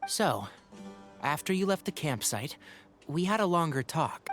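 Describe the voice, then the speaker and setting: A friendly, plucky young adventurer from a video game. The voice is mid-pitched and very animated, upbeat and direct. Music is clearly heard behind it. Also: a little slow. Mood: inquiring.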